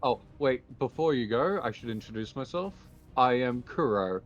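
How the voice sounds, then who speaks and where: A man's voice: medium pitch, lively intonation, normal speed, dull audio, close to an everyday voice. Composed, confident card duelist played by a man. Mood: friendly. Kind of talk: improvised Minecraft anime roleplay. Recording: music behind the voice.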